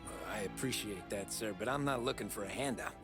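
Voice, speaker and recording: Music sits behind a man playing a young American cabbie in a game cutscene. His lowish voice is polite and restrained, with quiet determination. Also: fast, natural voice. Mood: earnest, determined. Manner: deferential, restrained. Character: earnest, polite, determined young male cab driver. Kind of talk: video game cutscene acting.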